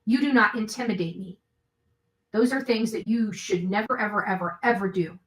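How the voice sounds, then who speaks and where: A woman's voice: medium pitch, moderate pace, roomy sound, poor audio. A woman hosting her own show, talking casually to viewers in a blunt, emphatic way and repeating phrases for emphasis. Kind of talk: casual storytelling commentary to viewers.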